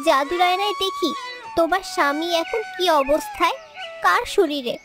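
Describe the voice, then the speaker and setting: A woman's voice: extremely high pitch, expressive, moderate pace, over music. A woman voicing an earnest, helpful young fairy trapped as a bird in a children's fairy tale cartoon. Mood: reassuring.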